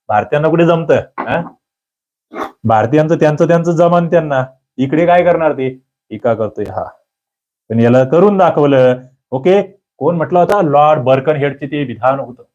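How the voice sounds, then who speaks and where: A man teaching an exam prep lecture, quizzing listeners with lively rhetorical questions. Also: a little high, normal speed. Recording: dull lo-fi audio.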